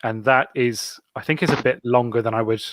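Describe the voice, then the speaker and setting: A man's voice: medium pitch, a little fast, a bit bright, no echo. A man hosting a casual book club podcast, steering the talk and laughing often. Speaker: podcast host.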